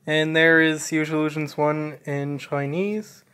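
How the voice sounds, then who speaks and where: A woman narrating a casual unboxing in a halting, deliberate way. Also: deep voice, level tone, fairly bright tone, clear, resonant tone. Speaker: unboxing video maker.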